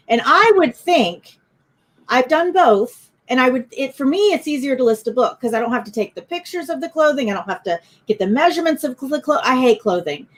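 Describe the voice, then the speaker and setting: A woman co-hosting a casual live video chat, asking questions and adding frank opinions of her own. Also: sits high. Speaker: co-host.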